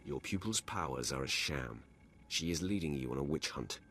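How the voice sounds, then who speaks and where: A man's voice: rumbling low, lively intonation, normal speed, fairly bright tone, a little hiss or hum, full character voice. A man playing a cold, arrogant Sith warrior in a video game, with a haughty, menacing, terse delivery. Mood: scornful.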